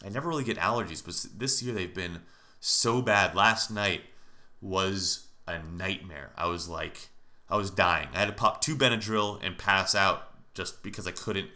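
Nasal, bright-voiced American man podcasting. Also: sits low, normal speed. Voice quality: nasal, congested. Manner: informal, conversational, mildly profane. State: stuffed up with allergies. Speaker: podcast host. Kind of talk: casual solo podcast talk.

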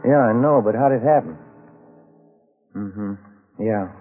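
An actor playing a plain-spoken, easygoing police detective in a 1950s radio drama, talking in a clipped, conversational way. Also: male voice, on the low side, a little slow, faint music behind, lightly acted. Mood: concerned, businesslike. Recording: dull old radio recording.

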